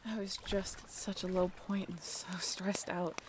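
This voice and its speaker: A young woman playing a friendly half-mermaid in an intimate audio roleplay, with a creaky voice and a casual, halting, wry and bashful way of talking. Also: on the low side, level tone, whispered, close to an everyday voice. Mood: tender, grateful. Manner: casual, halting, softly self-deprecating. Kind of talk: intimate audio roleplay, one-sided conversation.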